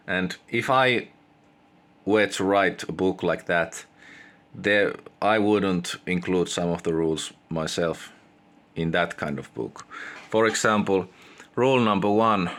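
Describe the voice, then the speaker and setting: Finnish guy chatting opinions off the cuff, low voice, really slow and halting. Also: a bit bright. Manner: halting, pausing mid-sentence. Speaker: video creator giving opinions. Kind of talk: casual unscripted commentary.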